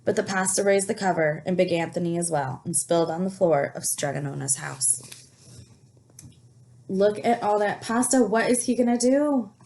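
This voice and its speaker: A woman reading a picture book aloud in an expressive storytelling style, stopping to put questions to young listeners and explain things. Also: medium pitch, moderate pace, slight background noise.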